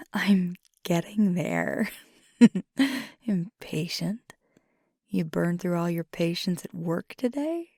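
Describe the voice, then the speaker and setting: A woman playing an affectionate, teasing werewolf girlfriend in an ASMR roleplay, speaking in a soft, breathy, half-whispered voice with casual pauses. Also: fairly low pitch, lively intonation, no room sound, studio quality, full character voice. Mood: teasing, amused. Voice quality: breathy, husky, gravelly fry. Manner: casual, unhurried, hesitant pauses. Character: fiery werewolf girlfriend, affectionate, teasing, weary pack member.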